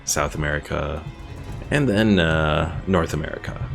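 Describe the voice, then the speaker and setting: A man's voice: very deep voice, a little slow, gravelly, faint music behind. A man giving casual gameplay commentary as a streamer, musing and thinking aloud as he goes. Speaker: game streamer.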